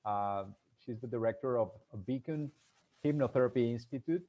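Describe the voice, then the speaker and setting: A man hosting a live conversational interview, speaking in halting, hesitant phrases. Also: medium pitch, mellow, dull audio. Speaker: interview host.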